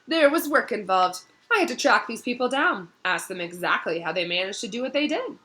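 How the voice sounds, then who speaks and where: A vain middle-aged wizard professor from a storybook, acted by a woman, pitched up and projected brightly. He blusters and hems, pompous and slippery in every phrase. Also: lively intonation, a little fast. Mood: smug.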